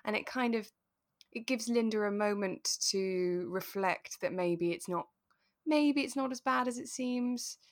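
A British woman co-hosting a podcast in casual chat, with a playful, expressive way of retelling things. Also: on the high side, a little slow. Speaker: podcast co-host. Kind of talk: casual chat discussing a radio drama.

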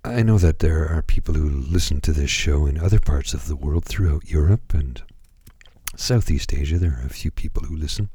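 A hoarse man hosting a radio music show, talking calmly with many pauses and hesitations. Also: extremely low pitch. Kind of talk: slow, calm radio presentation.

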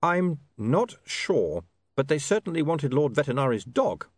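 A man's voice: on the high side, sing-song, unhurried, breathy edge, dry recording, clean studio sound, pitched above the performer's own voice, exaggerated voice. A man voicing an earnest, awkward, cautious young man in a story.

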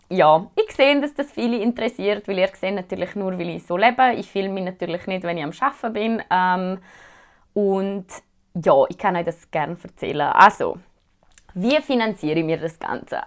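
A young woman with a Swiss German accent talks to her viewers in a relaxed, chatty way. She answers their questions with plenty of fillers and small restarts. Her voice sits in a middle pitch. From Switzerland. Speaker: vlogger.

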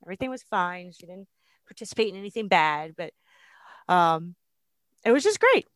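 A woman's voice: lowish voice, very animated, moderate pace, no echo. A woman co-hosting a podcast who works as a brewer, talking in an upbeat, enthusiastic way. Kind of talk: casual chat.

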